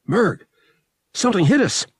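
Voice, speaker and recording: Brash young starship pilot for an audiobook, performed by a man: colloquial, urgent, high-pitched delivery, slightly husky. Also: sing-song, a little slow, no echo, clean studio sound, full character voice. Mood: urgent, alarmed. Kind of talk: audiobook character voice.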